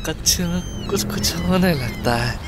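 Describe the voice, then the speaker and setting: An actor as a cheeky, lecherous sidekick in a horror-comedy audio drama. His fairly high voice swings up and down through crude, whiny street banter, with music behind. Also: lively intonation, a little slow. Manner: earthy street-slang banter.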